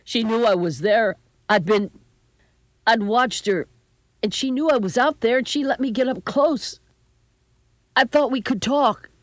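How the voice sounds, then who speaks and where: A woman's voice: a little high, unhurried, long pauses, no echo, pitched above the performer's own voice, lightly acted. A woman voicing a distraught, foolish young grandson who pleads and makes excuses in a panicky way. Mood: defensive.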